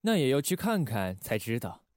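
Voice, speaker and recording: Brief: male actor as shrewd, composed spy station chief, medium pitch, dry studio sound, period radio drama. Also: very animated, moderate pace, darkish tone, dry recording, close to an everyday voice. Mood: wary. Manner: composed, understated authority.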